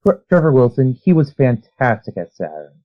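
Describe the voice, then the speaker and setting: A man's voice: medium pitch, sing-song, moderate pace. Guest writer guy rambling and analyzing stuff, kinda muffled lo-fi audio. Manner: rambling, analytical, trailing restarts. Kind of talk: casual interview chat. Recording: dull lo-fi sound.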